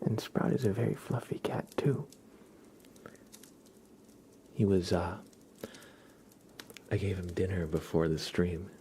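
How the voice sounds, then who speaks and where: A man hosting an ASMR livestream, chatting softly and gently and often slipping into whispers. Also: deep voice, takes it slowly, pauses often, a little hiss or hum. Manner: gentle, hushed, drifting into whispers. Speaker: livestream host.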